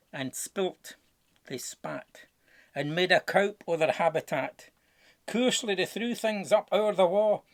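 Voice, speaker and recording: Scottish male narrator for a rhyming picture book, unhurried and theatrical, with a gravelly edge. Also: a little high. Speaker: storybook narrator. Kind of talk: dramatic rhyming verse storytelling.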